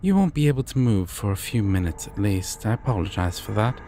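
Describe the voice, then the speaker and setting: A man playing a courteous, formal deity of dreams in an audio roleplay, speaking to the listener with measured, theatrical pauses. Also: on the low side, very animated, noisy background. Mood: composed, grand. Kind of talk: immersive roleplay addressed to the listener.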